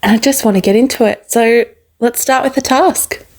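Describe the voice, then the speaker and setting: Female contestant, slightly high voice, eager and excited self-introduction. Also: moderate pace, a little hiss or hum. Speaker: game contestant.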